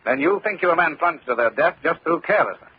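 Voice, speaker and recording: An actor playing a shrewd, informal insurance investigator in an old-time radio drama, on a muffled old recording. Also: male voice, fairly high pitch, expressive, brisk, a little hiss or hum, lightly acted. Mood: probing.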